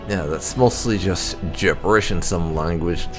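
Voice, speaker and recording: A man narrating game lore as the host of a gaming video, with a gravelly voice. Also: deep voice, measured pace, music in the background.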